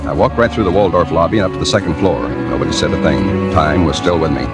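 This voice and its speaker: Fast-talking hardboiled American reporter. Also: male voice, sits low. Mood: brisk narration. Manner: hardboiled, sardonic. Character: brash, wisecracking newspaper reporter narrating his own story. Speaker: narrator and lead actor. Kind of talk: 1940s radio noir acting, first-person narration. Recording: vintage 1940s radio drama with music.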